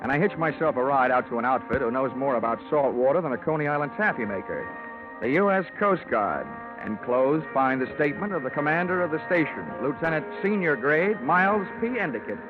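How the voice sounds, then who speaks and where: A man's voice: on the high side, lively intonation, brisk, over music, natural voice. Tinny vintage radio recording, wry hard-boiled investigator played by a man. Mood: cool, wry. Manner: dry, wisecracking, clipped. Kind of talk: 1940s-50s radio detective drama acting and narration.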